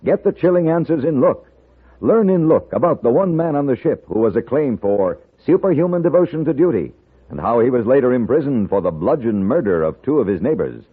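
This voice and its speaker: A man's voice: medium pitch, big pitch swings, brisk, husky. A man announcing in 1950s radio style, emphatic, polished and instructive. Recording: narrow-band 1950s radio recording.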